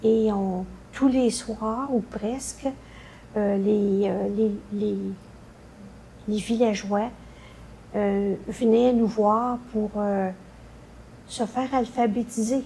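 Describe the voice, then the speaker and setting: An older woman with a Quebec French accent recounting memories in a halting way, searching for her words. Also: mid-range voice, fairly even intonation, mellow, a little hiss or hum, rough recording. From Canada. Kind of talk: unscripted personal recollection.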